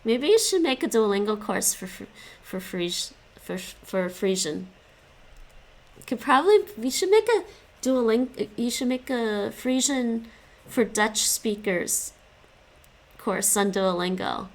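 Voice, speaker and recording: A woman's voice: a little high, animated, takes it slowly. A young woman chatting casually with stream viewers while she studies languages. She stops and restarts often and laughs a lot. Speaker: live streamer studying languages.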